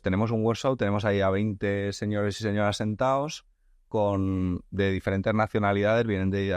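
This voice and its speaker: A man's voice: deep voice, dry recording. A man hosts a podcast conversation. He thinks aloud, often breaking off and restarting his phrases. The sound is dry and close. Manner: thinks aloud, frequent restarts and repeated words. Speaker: podcast host. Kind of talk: conversational interview.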